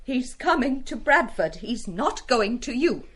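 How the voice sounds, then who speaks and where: An actress in a radio play as a schoolmaster's spiteful, mocking wife with a cutting manner. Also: female voice, fairly high pitch, big pitch swings, a little slow, fairly bright tone, breathy edge, full character voice. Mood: scornful. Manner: scornful, cutting. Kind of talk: radio drama acting.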